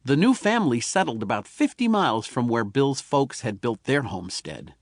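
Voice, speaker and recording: A man narrating a children's tall tale as an audiobook, in an expressive, folksy storytelling manner. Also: mid-range voice, moderate pace, dry recording, studio quality.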